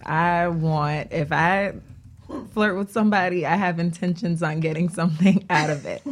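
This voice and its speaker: A woman speaking casually and candidly as a guest on a talk show. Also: sits low. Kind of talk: casual conversation.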